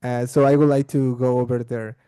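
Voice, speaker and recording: Halting, very dark-voiced man. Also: mid-range voice, little pitch movement, clean tone, no room sound. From Bolivia. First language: Spanish. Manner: halting, repeats words while searching for them. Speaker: language exchange participant. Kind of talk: casual conversation practice.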